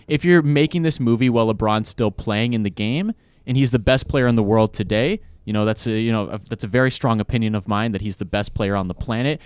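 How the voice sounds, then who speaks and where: A man's voice: fairly low pitch, clean tone, no room sound. Enthusiastic young man hosting sports talk. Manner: enthusiastic, rambling. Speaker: podcast host. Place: studio.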